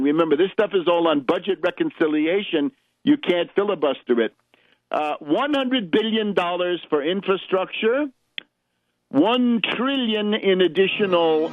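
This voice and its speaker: A man with a fairly high-set voice delivers opinionated political commentary as a radio host. His delivery is halting yet emphatic, with sardonic asides. The recording is dry and somewhat muffled, like a lo-fi radio broadcast. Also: dry recording.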